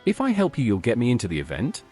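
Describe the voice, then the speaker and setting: A man's voice: mid-range voice, wildly swooping pitch, quick pace, a little mellow, husky, faint music behind. AI male voice as a plain, agreeable hero with a wide-ranging, synthetic delivery.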